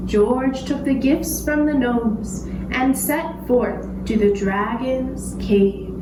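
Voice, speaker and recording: Female puppet-show narrator, extremely unhurried and deliberate, recorded in an echoey space. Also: a little high. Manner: measured, deliberate, simple phrasing with long pauses. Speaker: storyteller for a kindergarten puppet show. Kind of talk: slow scripted storytelling. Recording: echoey, distant microphone, clearly noisy.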